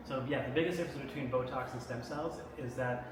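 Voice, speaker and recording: A man who is a doctor, explaining treatments in casual chat, tagging his points with "right?". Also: mid-range voice. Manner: fast, explains with repeated "right?" tags. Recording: echoey room, far from mic, noisy.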